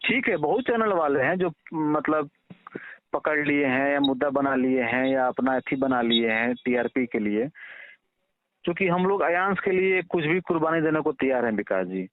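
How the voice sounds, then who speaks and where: Bihari male, fairly high voice, persuasive and respectful in casual talk, dry telephone-quality recording. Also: expressive, moderate pace, no echo. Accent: Bihari Hindi. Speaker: father appealing to a reporter. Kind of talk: casual phone conversation. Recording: tinny, narrow-band phone call recording.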